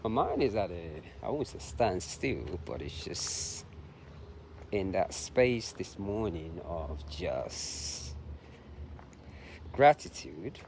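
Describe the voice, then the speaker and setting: A man vlogging outdoors on a park trail while he jogs, musing softly with many pauses. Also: low-pitched, big pitch swings. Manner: soft-spoken, musing, halting with pauses. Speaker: vlogger and long-distance runner. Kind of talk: casual reflective vlog while out jogging. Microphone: handheld camera, self-filmed. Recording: outdoor recording with noticeable background noise.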